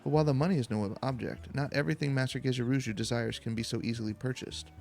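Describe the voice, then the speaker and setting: Man playing a haughty, gravelly mercenary boss. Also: mid-range voice, steady tone, fast talker, a little mellow, natural voice. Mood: pompous. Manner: condescending, imperious, formal. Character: haughty, demanding male former mercenary captain giving errands. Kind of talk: fantasy game dialogue read aloud.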